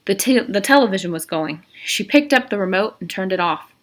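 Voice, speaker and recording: A woman's voice: medium pitch, lively intonation, fairly quick. A woman narrating a novel as a read-aloud, reading expressively with an occasional stumble. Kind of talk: storybook read-aloud with brief asides.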